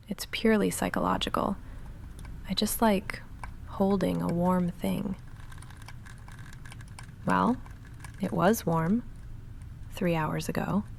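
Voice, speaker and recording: A woman playing a young goth vampire roommate in an ASMR roleplay, speaking softly and often whispering in a dry, halting, self-deprecating way. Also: fairly low pitch, slight background noise, exaggerated voice. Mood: wry, rambling. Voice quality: soft, often whispered, breathy fry.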